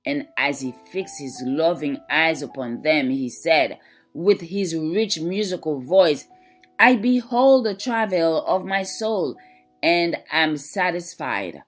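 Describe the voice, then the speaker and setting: A woman reads a scripted devotional in a fairly low voice. Her delivery is slow, measured and reverent, with faint music behind her. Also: lively intonation. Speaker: devotional reader. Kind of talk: devotional reading of scripted religious text.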